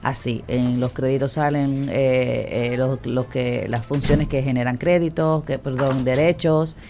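A woman's voice: very deep voice, fairly even intonation, unhurried, noisy background. A woman answering interview questions about her animation studio, hesitant and full of fillers.

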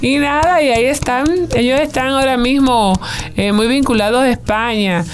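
A woman's voice: sits high, steady tone, normal speed, slight background noise. Chatty woman presenting entertainment news. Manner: chatty, digressive, jokey asides. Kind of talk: conversational news report. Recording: music bed underneath.

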